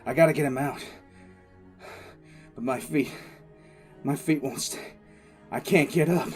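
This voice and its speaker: An actor playing a frantic, bitter military commissar in an audio drama, telling his story in halting, intense first person. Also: medium pitch, darkish tone, soft background music, close to an everyday voice. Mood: dazed, pained. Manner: halting, intense, repeats words. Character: male military commissar, wounded, frantic, bitter survivor recounting disaster.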